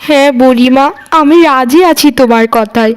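A woman voicing a humble, gentle young village girl in a cartoon folk tale, earnest in her speech. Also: sits high, fairly even intonation, normal speed, clear, resonant tone, close to an everyday voice.

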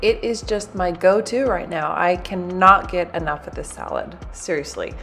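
A woman's voice: fairly low pitch, expressive, moderate pace, vocal fry, a bit bright, faint music behind. Bubbly woman walking through a recipe. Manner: bubbly, chatty, addresses viewers as "you guys". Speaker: cooking channel host. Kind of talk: recipe demonstration, voice-over and to camera. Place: home kitchen, indoors.

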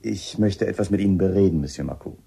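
A man's voice: deep voice, fast talker, creaky, dark tone, breathy edge, full character voice. A man acting a shrewd, polite police inspector in a radio drama, speaking in a probing, matter-of-fact, slightly hesitant way. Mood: probing, firm.

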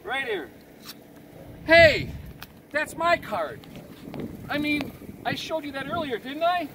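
A man in his fifties with a high voice performs a card trick outdoors, counting aloud and quizzing the kids around him in a chatty showman way. He sounds like he is caught by a camera mic a few meters off. Loud street noise runs under everything. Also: expressive, slow pace, vocal fry, far from the mic, low-quality recording. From Chicago. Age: 50s. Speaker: street magician and preacher. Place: residential street outdoors, kneeling on the sidewalk. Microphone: camera mic from a few meters away.

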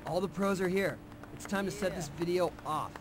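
A man's voice: high-pitched, brisk, lightly acted. A man with an American accent playing an upbeat, casual, take-charge pro skateboarder in a video game. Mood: rallying. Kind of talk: video game cutscene acting.

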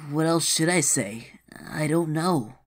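A woman's voice: deep voice, measured pace. A woman voicing a timid, confused young male mouse creature with amnesia in a comic dub, hesitant and earnest. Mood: uneasy. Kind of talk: fan comic dub voice acting.

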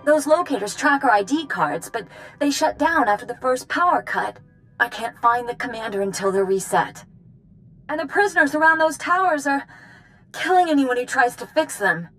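Harried young female assistant, high voice, halting, earnest, sci-fi game, music behind. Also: lively intonation, fairly bright tone, poor audio. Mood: flustered, stressed. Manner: flustered, halting, breaking off. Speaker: prison commander's assistant. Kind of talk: video game voice acting.

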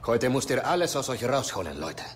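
A man's voice: medium pitch, sing-song, fairly quick, a little hiss or hum, natural voice. An actor playing a football coach giving his team a rousing pep talk in a video game cutscene.

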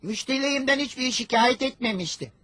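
A woman voicing a young, level-headed boy in a dubbed cartoon, with a husky voice and a dry, cheeky manner. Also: sits high, fast, a bit bright, no room sound.

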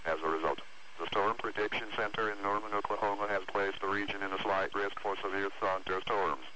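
A man's voice: on the low side, fairly even intonation, brisk, pushed and bright. A synthetic man's voice reading scripted weather alerts as an automated announcer over the radio. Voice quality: synthetic text-to-speech voice. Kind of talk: scripted hazardous weather bulletin. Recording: noisy weather radio broadcast recording.

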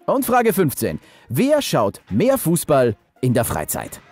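A man's voice: on the high side, wildly swooping pitch, takes it slowly, over music. A man off camera who reads out numbered quiz questions in an announcing tone.

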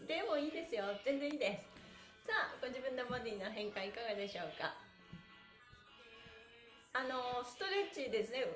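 A woman's voice: on the high side, lively intonation, a little fast, pauses often, faint music behind. A middle-aged woman leading a live stretching class with cheerful, polite and playful coaching, chatting and counting as she goes. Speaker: stretching instructor and pantomime performer. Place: small room indoors, on an exercise mat. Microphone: camera or phone a short distance away. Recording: roomy, echoey distant device mic.

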